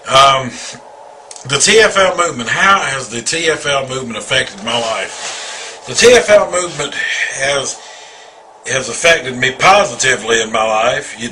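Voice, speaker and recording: A man's voice: medium pitch, pushed and bright, a little hiss or hum. A man in his forties giving an earnest, rambling personal testimony, often repeating himself. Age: 40s. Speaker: video testimonial speaker.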